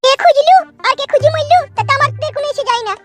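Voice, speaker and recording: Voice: extremely high pitch, very fast. A voice actor playing a devoted, defiant runaway village wife in a comic cartoon, cooing and insistent, with music underneath.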